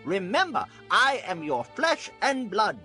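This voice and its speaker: A man's voice: high voice, huge pitch swings, measured pace, bright, forceful tone, faint music behind, full character voice. A man playing a proud ancient Israelite in a dramatized audio Bible, speaking in a declamatory way. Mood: persuasive. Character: proud ancient Israelite men: officials, elders, an ambitious claimant.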